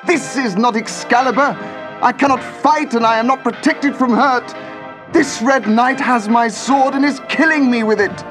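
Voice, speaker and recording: A man voicing a brave, noble king who speaks formally, over soft music. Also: medium pitch, unhurried, lightly acted. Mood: desperate. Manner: formal, declaiming.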